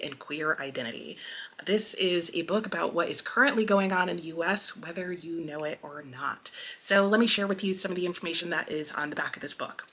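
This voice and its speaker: Female book vlogger, slightly low register, casual and friendly, speaking directly to the audience. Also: a bit bright. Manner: friendly, conversational, addresses listeners directly. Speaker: host of a book channel and read-along. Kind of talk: casual vlog announcement to camera.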